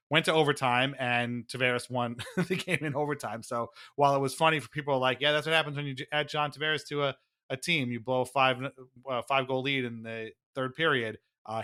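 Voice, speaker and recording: Bright, forceful male podcast co-host. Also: medium pitch. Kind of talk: casual sports podcast chat.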